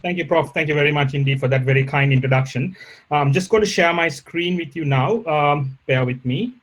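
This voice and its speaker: Polite Sri professor lecturing. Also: male voice, fairly high pitch, fairly bright tone. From Sri Lanka. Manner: polite, measured, formal. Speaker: guest professor. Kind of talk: online lecture. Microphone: video call.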